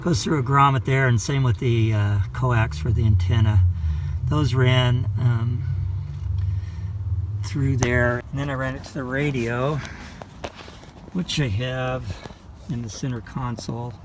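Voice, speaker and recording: An older man giving a casual, unscripted how-to walkthrough, unhurried and a bit hesitant as he explains. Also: medium pitch, steady tone. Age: older, a grandfather. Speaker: vlog host showing a DIY install. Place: outdoors in a parking lot, around a truck. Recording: clear car and ambient noise.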